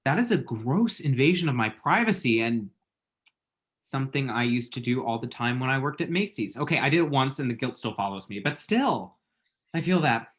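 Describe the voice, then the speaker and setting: A man's voice: mid-range voice, lively intonation, moderate pace, a bit bright, lightly acted. An actor playing an awkward, wry, lovestruck young man in a screenplay table read, hesitant and self-deprecating. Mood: shy, wry.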